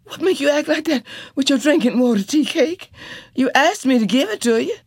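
A tender, anxious young wife, as a woman reader puts her on, with a husky, raised voice that climbs and falls. Her drawl is warm and coaxing, heard up close with no room sound. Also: fairly low pitch, sing-song, moderate pace, dry recording, pitched above the performer's own voice, heavily acted.

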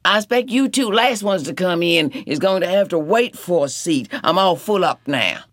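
A man's voice: high-pitched, animated, normal speed, a bit bright, dry recording, clean studio sound, voice pushed up. A man voicing a middle-aged woman who runs a café, polite and a little fussy.

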